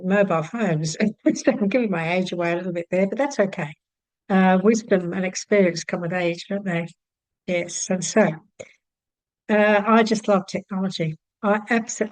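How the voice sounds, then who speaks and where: A woman's voice: medium pitch, no echo, rough recording. Chatty older Australian woman, dry close sound. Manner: chatty, warm-hearted, many fillers and restarts. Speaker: psychic channel host. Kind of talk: casual talk to camera. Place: home room with shelves of card decks.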